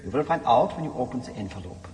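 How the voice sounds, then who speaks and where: An actor in a radio drama playing an arrogant, urbane condemned German military leader with a suave, formal, condescending manner. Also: male voice, mid-range voice, lively intonation, fast talker, a little mellow, lightly acted. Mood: smug, commanding. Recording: long reverb of a stone cell.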